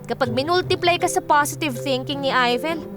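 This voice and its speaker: A woman's voice: sits high, a little fast, natural voice. A woman acting a witty, bossy but caring young friend in a radio drama, teasing, with music behind her. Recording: clear music under the voice.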